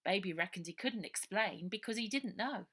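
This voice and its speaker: A woman's voice: mid-range voice, lively intonation, normal speed, a bit bright, husky. A woman narrating a children's adventure story as its author, reading aloud.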